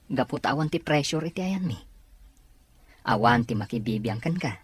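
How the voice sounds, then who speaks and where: Male actor, loyal rebel recruiter, Ilocano accent, husky, medium-pitched, audio drama dialogue. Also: animated, moderate pace, no echo. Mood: coaxing, assuring.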